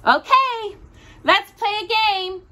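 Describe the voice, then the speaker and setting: A woman voicing an excitable, curious little girl in a children's storybook read aloud. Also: very high-pitched, takes it slowly, a little hiss or hum, pitched above the performer's own voice, full character voice. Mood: playful.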